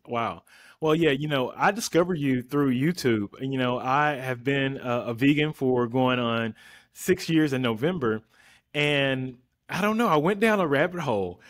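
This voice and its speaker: An American man in his thirties speaking informally and conversationally as he hosts a casual interview. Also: medium pitch. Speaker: interview host, vegan chef and influencer. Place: indoor room, remote video call. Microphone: desktop condenser microphone up close.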